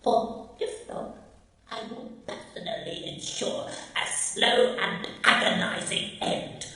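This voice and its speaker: A man's voice: sits high, takes it slowly, sharp tone, full character voice. A man playing a cruel, gloating megalomaniac cyborg in a radio drama, with a harsh, electronically modulated voice and pompous, menacing threats. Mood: gloating menace. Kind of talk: radio drama villain acting. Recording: echoey fan-made audio drama.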